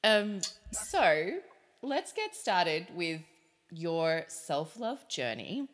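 A woman hosting a podcast interview, talking in a bright, encouraging way and laughing often. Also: on the low side, sing-song, drawn-out words. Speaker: podcast host.

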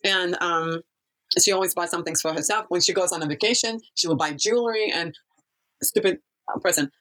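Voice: mid-range voice, fairly quick, bright, forceful tone, low-quality recording. A person from Kazakhstan telling a life story in a casual interview, rambling and often losing the thread.

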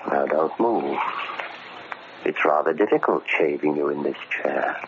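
An actor playing a vengeful ex-convict posing as a deferential, bookish manservant, speaking formally and measuredly with polite menace in old radio horror style. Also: male voice, very deep voice, a little slow. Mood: menacing. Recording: muffled, noisy old radio recording.

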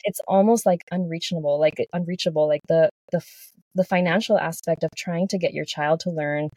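Earnest woman, low voice, dry sound. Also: fairly even intonation, fairly quick, darkish tone, husky, no room sound. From Texas. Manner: earnest, with many restarts and "like" fillers. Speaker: podcast guest. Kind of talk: casual podcast conversation.

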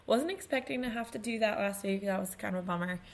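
A woman in her twenties co-hosting a podcast in casual chat, her voice still a little raspy after losing it, telling stories with laughs. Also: medium pitch, quick pace, crowd noise. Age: 20s. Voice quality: slightly raspy. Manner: chatty, laughing storyteller. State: recovering from lost voice. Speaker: podcast co-host.

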